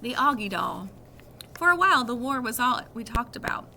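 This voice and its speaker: Bright-voiced woman reading a story aloud. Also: fairly high pitch, very animated, moderate pace, vocal fry, slight background noise, poor audio. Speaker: reader reading a children's novel aloud.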